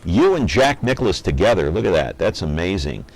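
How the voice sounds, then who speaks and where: Low-voiced man hosting an interview. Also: big pitch swings, moderate pace, vocal fry, low-quality recording. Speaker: interviewer and program host. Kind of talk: conversational on-camera interview.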